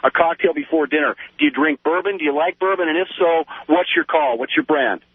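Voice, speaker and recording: A man's voice: fairly high pitch, very animated, fairly quick, vocal fry, dry recording. An American man calling a radio show, chatting casually in a chummy, talkative way over a phone line. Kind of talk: casual chat.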